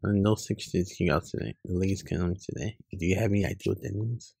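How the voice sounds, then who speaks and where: A man reading webcomic dialogue aloud in an informal way, loosely acting out the lines, with a gravelly, husky voice. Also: low voice, steady tone, fast, mellow, no room sound, studio quality. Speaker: online comic reader.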